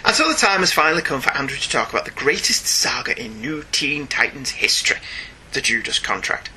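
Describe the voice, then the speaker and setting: A man's voice: mid-range voice, a little fast, a little hiss or hum. A man with a Northern English accent hosting a casual comics podcast, reading listener emails and joking in asides. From northern England. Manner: jokey, animated, digressing into asides. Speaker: podcast host.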